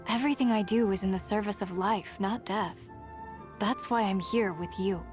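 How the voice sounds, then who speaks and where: Casting: actress as resolute young huntress for a video game, plain-spoken and self-contained, mid-range voice. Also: female voice, mid-range voice, moderate pace, over music, close to an everyday voice. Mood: determined. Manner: plain-spoken, understated, determined. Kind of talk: video game character voice lines.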